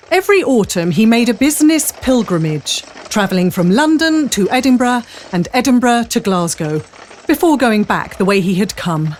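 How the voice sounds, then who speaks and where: A woman's voice: mid-range voice, a little mellow, a little hiss or hum. A woman reading scripted story narration as the narrator of an audio drama.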